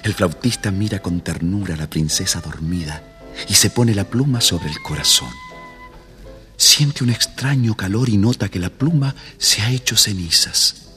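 A man's voice: lowish voice, expressive, moderate pace, sharp tone, in a large hall, soft background music. A man narrating a children's story in a scripted, dramatic storytelling style.

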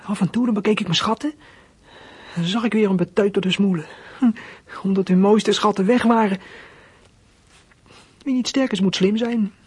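A boy of about thirteen, husky and breathy, in a close, dry sound. He confides like a young thief in a radio drama, colloquial, boyish, hurt and defiant. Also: mid-range voice, normal speed, a little mellow, no room sound. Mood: boastful, confiding. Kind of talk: radio play acting, confiding first-person narration.

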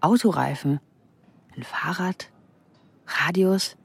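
A woman's voice: fairly low pitch, slow, gravelly, breathy edge, full character voice. A woman playing a wry, clipped narrator in a radio drama. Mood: sardonic.

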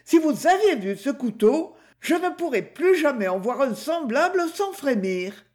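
Man voicing a shrill, dramatic butcher's wife. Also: very animated, a little slow, a little mellow. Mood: shaken, dramatic. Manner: breathless, overdramatic retelling. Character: frightened plump woman butcher, dramatic.

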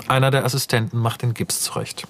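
A man narrating screenplay directions in a radio drama, in a measured, matter-of-fact way. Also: mid-range voice, little pitch movement, a bit bright, a little hiss or hum, lightly acted. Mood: measured, sober. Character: sober narrator reading out screenplay scene directions.

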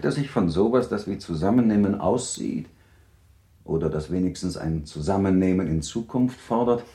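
An actor playing a courteous, affectionate middle-aged baron in a radio play, gentlemanly and gently probing in manner. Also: male voice, lowish voice. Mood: pleased, playful. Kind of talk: German radio play acting.

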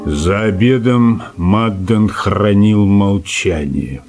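A man's voice: fairly low pitch, takes it slowly, darkish tone. A man reading scripted story narration in a radio play. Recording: radio play with music underneath.

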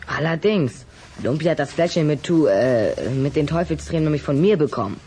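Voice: medium pitch, lively intonation, moderate pace, warm tone, a little hiss or hum, lightly acted. A performer playing a young schoolboy in a radio play, hesitant and questioning, with a defiant streak. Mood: curious. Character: young schoolboy, indignant and inquisitive. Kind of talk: German radio play acting.

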